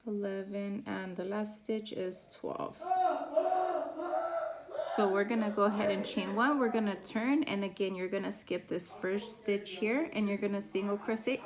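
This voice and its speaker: A woman teaching a crochet pattern step by step, her voice raspy from a sore throat as she counts stitches aloud. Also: mid-range voice, fairly even intonation, moderate pace, heavy background noise. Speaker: crochet instructor. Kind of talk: step-by-step craft tutorial.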